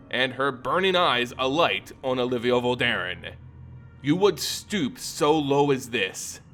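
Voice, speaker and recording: A man giving a dramatic, theatrical scripted narration of a fantasy story, with deliberate emphasis. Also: medium pitch, fairly bright tone. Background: music.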